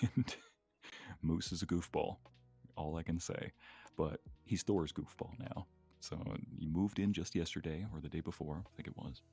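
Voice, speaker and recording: A man's voice: sits low, moderate pace, vocal fry, mellow, breathy edge, faint music behind. A man chatting casually on a livestream, telling stories in a playful way with an easy chuckle. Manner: chuckles often, playful storytelling. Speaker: streamer co-hosting a live chat.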